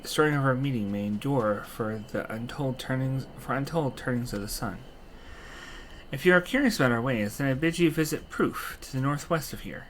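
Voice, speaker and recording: A man's voice: medium pitch, fairly bright tone, a little hiss or hum, close to an everyday voice, low-quality recording. A man voicing a reverent giant stone carver in a fantasy game, with courteous, old-fashioned speech.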